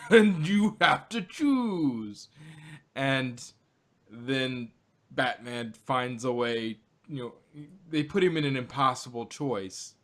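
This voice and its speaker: A man co-hosting a relaxed review conversation. He explains references at length, often restarting his sentences. His pitch is ordinary for a man. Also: slow. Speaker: podcast co-host. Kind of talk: casual review chat.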